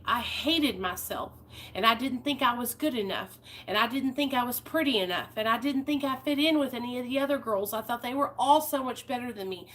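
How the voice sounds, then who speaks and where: A woman's voice: on the high side, fairly bright tone, slight background noise. A woman, talking candidly and heartfelt to her viewers in a personal vlog. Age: 30s. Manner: candid, heartfelt, rambling with fillers. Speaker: vlogger.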